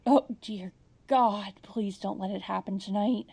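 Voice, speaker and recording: A woman's voice: medium pitch, steady tone, a little slow, vocal fry, noisy background. A woman playing an anxious, long-suffering housewife who speaks in a fretful, meek way in a comedy audio drama. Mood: uneasy relief.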